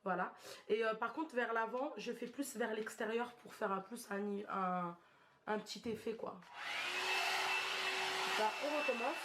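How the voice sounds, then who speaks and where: A woman's voice: a little high, unhurried, long pauses, noisy background. A woman with a stuffy head cold, giving a chatty, slangy hair tutorial to her viewers while she demonstrates. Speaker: beauty vlogger. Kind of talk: casual hair tutorial chat.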